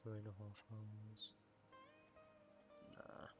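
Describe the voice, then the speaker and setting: A man's voice: lowish voice, monotone, drawn-out words, muffled recording, music in the background. A man giving casual, rambling video game commentary, half muttering to himself as he plays. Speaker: gaming streamer. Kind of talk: casual solo gameplay commentary.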